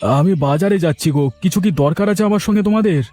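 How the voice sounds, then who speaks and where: A man's voice: high-pitched, fast talker, warm tone. A man voicing an earnest, repentant village man in a cartoon, emphatic and resolute. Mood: surprised. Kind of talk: cartoon dialogue.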